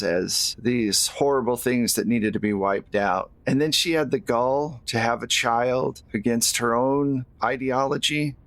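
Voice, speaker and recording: A man playing a vengeful, hot-headed fairy prince in a tabletop game, speaking in a cold, menacing, measured way. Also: medium pitch, fairly bright tone, dry recording.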